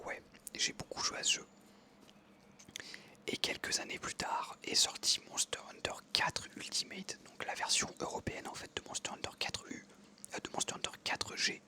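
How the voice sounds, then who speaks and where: Soft whisper, rambling gently. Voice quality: unvoiced whisper. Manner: soft, meandering, many fillers. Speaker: ASMR creator. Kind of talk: whispered ASMR storytelling over gameplay.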